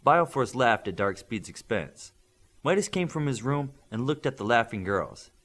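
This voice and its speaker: A man's voice: mid-range voice, lively intonation, normal speed, a bit bright. A man reading scripted fiction as an audiobook narrator.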